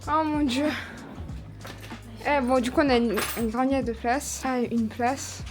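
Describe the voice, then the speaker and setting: Young woman, high voice, chatty slangy vlogger, music underneath. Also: fairly even intonation, slow. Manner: chatty, slangy, laughs often. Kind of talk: casual travel vlog chat. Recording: music over the audio.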